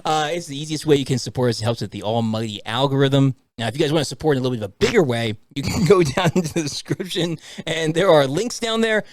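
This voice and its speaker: A man's voice: fairly high pitch, lively intonation, fast talker, few pauses, no room sound. A man hosting a podcast in casual banter, with an upbeat show-intro delivery. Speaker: podcast host.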